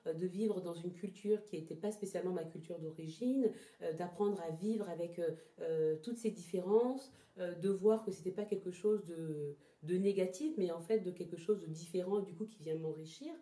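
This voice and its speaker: Very mellow, lowish woman's voice in echoey room. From born in Togo, lived in Ghana, moved to France as a child. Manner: hesitant, halting, repeats words as she searches for phrasing. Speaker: interview guest, traditional therapy practitioner. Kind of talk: reflective personal interview answers. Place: indoors in a house. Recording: roomy, echoing indoor sound.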